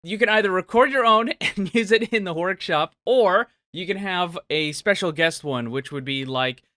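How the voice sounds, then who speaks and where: Male gaming host, upbeat commentary, forceful bright voice, very dry close sound. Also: high-pitched, moderate pace, no echo. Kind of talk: upbeat video commentary answering viewer questions.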